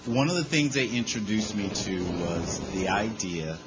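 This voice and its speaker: A man telling a humorous personal story to a group in a lively, conversational way, with quick asides. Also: lowish voice, heavy background noise. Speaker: speaker sharing his recovery story.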